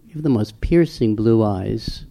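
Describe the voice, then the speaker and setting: A man's voice: lowish voice, lively intonation, unhurried, vocal fry, dark tone. A man conducting an interview in an informal, chatty way, adding knowledgeable asides.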